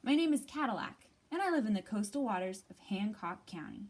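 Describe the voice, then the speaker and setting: A woman's voice: mid-range voice, animated, a little fast, a little mellow, lightly acted. A woman reading as a jaunty, playful, yoga-loving lobster with a nautical streak in a picture book.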